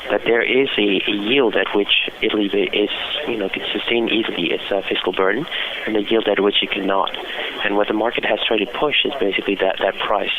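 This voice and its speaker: Fast-talking man on a tinny phone line. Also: lowish voice. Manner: hesitant, halting phrasing with frequent uhs and repeated words. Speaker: financial market analyst interviewed as guest. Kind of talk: spontaneous market commentary in a phone interview. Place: office, on a phone call. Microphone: telephone.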